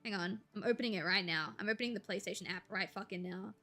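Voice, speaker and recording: A young woman, chatting casually and rambling to viewers on a live stream, with a slight gravel in her voice when she talks quietly. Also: medium pitch, quick pace, soft background music. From Australia. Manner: chatty, rambling, laughs easily, thinks aloud.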